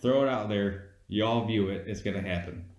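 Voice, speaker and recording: A man's voice: fairly low pitch, moderate pace, echoey room, background noise. A man co-hosting a casual movie review, explaining his points insistently in loose, sweary conversation. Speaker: co-host of a movie review podcast.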